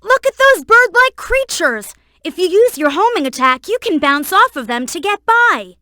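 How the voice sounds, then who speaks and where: A woman voicing a young boy fox sidekick in a video game, earnest, helpful and excitable, with clear childlike delivery. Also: very high voice, normal speed, no room sound, clean studio sound, full character voice. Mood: earnest, instructive. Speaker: video game character giving hints. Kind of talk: game voice acting, tutorial hints and alerts.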